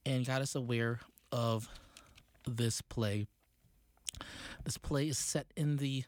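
Unhurried man with halting delivery. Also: medium pitch, no echo. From United States. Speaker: community news reporter. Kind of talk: review of a play.

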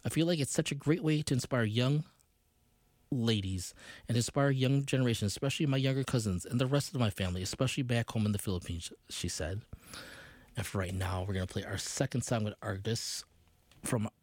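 A man hosting a radio show, reading a news feature aloud in a steady voice and introducing songs. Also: mid-range voice, fairly quick, no echo. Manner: steady read-aloud delivery, quotes read plainly. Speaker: radio host.

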